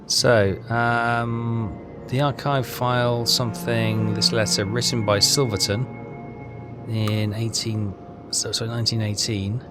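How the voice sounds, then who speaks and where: Guy doing a casual game walkthrough, hesitant, thinking out loud, music playing behind him. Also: medium pitch, level tone, slow. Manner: hesitant, rambling, thinking aloud. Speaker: gaming video host.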